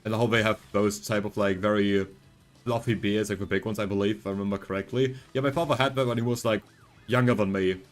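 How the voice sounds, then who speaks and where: A man in his twenties with a deep voice, streaming a game and rambling casually, reassuring his chat with lots of fillers. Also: steady tone, quick pace, faint music behind. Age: 20s.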